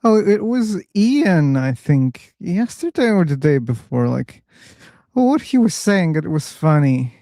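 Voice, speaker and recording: A man hosting a casual live online discussion room, chatty and quick to laugh. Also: on the high side, no echo, studio quality. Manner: relaxed, chatty, laughs easily. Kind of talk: casual live-stream chat.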